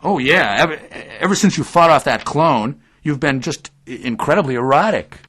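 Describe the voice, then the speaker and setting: Male reporter for audio drama, actor: tentative, placating, mildly defiant, with lively swings in pitch. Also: husky. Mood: admiring. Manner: halting, tentative.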